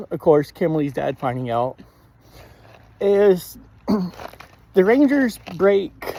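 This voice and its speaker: Man, slightly high voice, slow halting delivery, frequent throat clearing, casual reviewer. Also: a little mellow. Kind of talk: casual spoken episode review.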